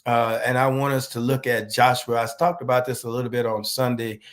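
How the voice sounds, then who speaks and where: A male pastor with a hoarse, slightly nasal voice from a cold, giving an online sermon in a slow, halting way and greeting viewers by name. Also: medium pitch, little pitch movement.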